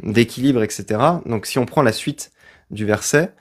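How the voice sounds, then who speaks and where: A man's voice: mid-range voice, moderate pace. A man hosting a live debate, pressing his opponent with insistent, probing questions and demands for sources. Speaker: stream host and debater.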